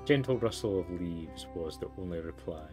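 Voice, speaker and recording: A man reading as a formal, measured storybook narrator in a story game. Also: sits low, animated, over music. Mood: measured, solemn. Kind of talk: scripted narration.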